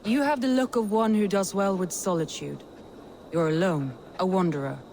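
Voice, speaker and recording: A woman's voice: medium pitch, moderate pace, darkish tone, a little hiss or hum, close to an everyday voice. A woman voicing a proud, resolute Viking lord and war leader in a video game, commanding, guarded and earnest. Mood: earnest, defiant. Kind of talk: video game dramatic acting. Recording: music behind the voice.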